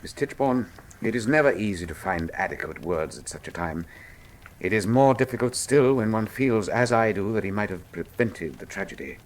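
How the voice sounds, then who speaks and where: Guy playing clever victorian detective, formal n dry, really animated pitch, radio drama, slight hiss. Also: moderate pace, lightly acted, low-quality recording. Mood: remorseful. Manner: precise, formal, dryly witty. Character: brilliant, cool Victorian consulting detective, dryly macabre. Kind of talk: full-cast radio drama acting.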